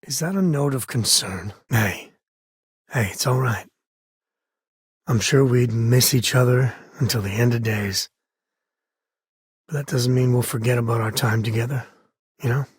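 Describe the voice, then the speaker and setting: A man voicing a rugged, wry space explorer and devoted father in a video game, with a husky voice and a folksy, conversational way of talking. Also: mid-range voice, a little slow, pauses often, no room sound, studio quality. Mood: tender, reassuring. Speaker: video game companion character.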